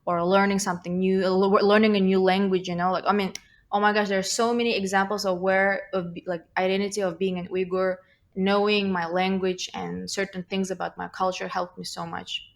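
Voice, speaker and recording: A woman's voice: mid-range voice, little pitch movement, brisk. A young woman with a slight Russian accent, a guest talking thoughtfully in a casual interview, with many fillers and restarts. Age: young adult.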